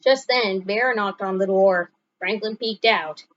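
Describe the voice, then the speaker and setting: A woman narrating a children's story aloud in an expressive storytelling manner. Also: mid-range voice, a little slow, highs cut off. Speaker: storybook reader.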